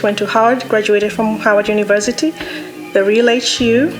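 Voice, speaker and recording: A woman's voice: mid-range voice, low-quality recording. A Kenyan woman, executive director of a foundation, speaking fluently and earnestly about her background and work. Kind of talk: personal account, interview-style.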